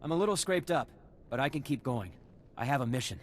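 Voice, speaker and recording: Game role: polite young Jedi man acted by a man, medium pitch, earnest, faint ambience behind. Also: lively intonation, fast talker, a little hiss or hum, close to an everyday voice. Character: earnest, deferential young male Jedi explorer. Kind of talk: video game character acting.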